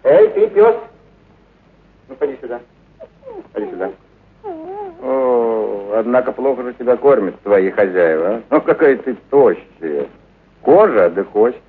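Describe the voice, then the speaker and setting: An actor voicing a short, plump, soft-hearted man who coaxes and soothes a stray dog in a radio story. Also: mid-range voice, sing-song, measured pace, pauses often. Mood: pitying, kindly. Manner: cooing, coaxing, repeating soothing phrases. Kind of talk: radio literary reading, acted dialogue. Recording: narrow phone-like radio sound with clear noise.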